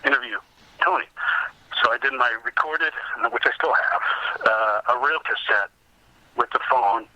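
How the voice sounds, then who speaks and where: A man's voice: medium pitch, normal speed, bright, forceful tone, no echo. A middle-aged man being interviewed, telling casual anecdotes from his own experience as a researcher and collector. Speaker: interview guest, comic book researcher and collector. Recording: dull lo-fi phone-like call audio.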